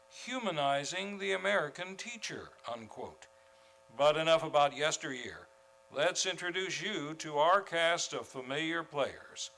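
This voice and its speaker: Casting: male series host, theatrical old-time radio announcer, higher-pitched and very bright. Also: poor audio. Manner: theatrical, grandly formal. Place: theater stage, before a live audience.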